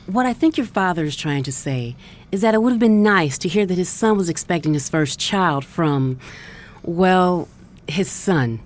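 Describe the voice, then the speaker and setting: A woman playing a gentle middle-aged mother in an audio drama, soothing and pleading to keep the peace. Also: lowish voice, expressive, moderate pace, lightly acted.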